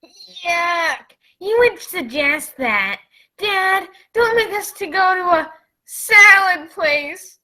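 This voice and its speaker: An actor playing an excitable, whiny child in a radio commercial skit. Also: extremely high pitch, slow pace, pauses often, a little hiss or hum, exaggerated voice. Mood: whining.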